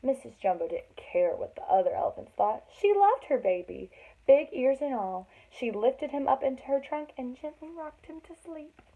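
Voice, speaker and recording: A woman's voice: high voice, very animated, normal speed. A woman reading a children's story aloud expressively, with dramatic emphasis for young listeners. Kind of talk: expressive read-aloud narration with chatty asides. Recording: thin, phone-like band-limited sound.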